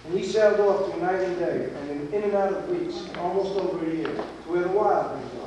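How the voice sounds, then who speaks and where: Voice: mid-range voice, brisk, highs cut off. A performer narrating a children's story on stage in a declamatory, storytelling manner. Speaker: reader's theater narrator. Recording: echoey hall, distant mic, noisy amateur tape.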